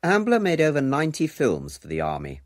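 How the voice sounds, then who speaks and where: A man's voice: on the high side, huge pitch swings, a little fast, dry recording, studio quality. A man presenting a documentary in scripted narration.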